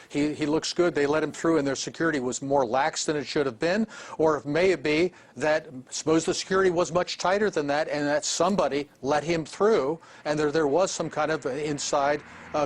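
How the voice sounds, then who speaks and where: A man, a former diplomat, giving slow, deliberate analysis in a live remote interview, often restarting his sentences. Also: on the high side. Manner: measured, deliberate, with frequent restarts. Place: remote studio.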